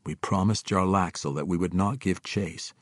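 A calm, measured dark elf ranger read by a male narrator speaks with composed formality. The voice is fairly low, in a dry studio sound. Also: dry recording.

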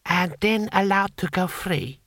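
A man voicing a scheming courtly lord and grand vizier in a measured, deliberate way for an audiobook. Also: sits high, a bit bright, no room sound, clean studio sound, pitched above the performer's own voice, heavily acted. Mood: cold, matter-of-fact. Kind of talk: audiobook character voice.

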